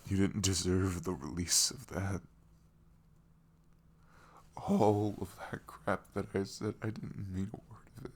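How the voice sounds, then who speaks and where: A man playing an emotional, remorseful ex-boyfriend in an intimate ASMR roleplay, speaking softly and haltingly as he pleads and confesses. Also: fairly even intonation. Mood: pained regret. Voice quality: soft, breathy, low murmur with creak.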